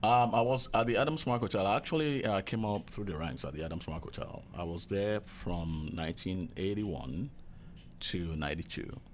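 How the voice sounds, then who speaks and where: A man in his fifties with a Nigerian accent, a guest chef telling relaxed stories full of fillers. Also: sits low, moderate pace, slight background noise. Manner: relaxed, chuckling anecdotes with many fillers. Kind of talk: casual interview storytelling.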